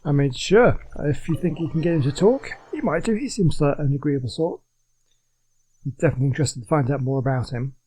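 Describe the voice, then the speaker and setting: A man's voice: fairly high pitch, brisk, mellow, husky, poor audio. A man hosting a game playthrough, commenting casually in a laid-back, musing way and reading some dialogue aloud. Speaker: gaming video host.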